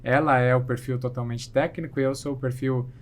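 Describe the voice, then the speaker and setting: A man's voice: medium pitch, steady tone, fairly quick, slight background noise. A middle-aged Brazilian man answering conversationally as an interview guest, hesitantly rephrasing as he explains. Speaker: podcast guest, plastic surgeon.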